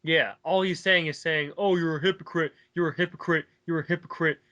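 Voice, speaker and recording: A man's voice: on the high side, fairly even intonation, a little fast, sharp tone, lo-fi sound. A man giving casual, mocking commentary in a sarcastic, argumentative and exasperated way. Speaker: commentator reading and rebutting online comments.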